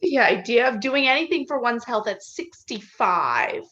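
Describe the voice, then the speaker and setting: Woman reading a high-voiced, dismissive doctor, dull audio. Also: animated, normal speed, fairly bright tone. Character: dismissive, philosophizing male country doctor, unruffled. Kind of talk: cold play reading over video call. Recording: slightly dull video-call audio.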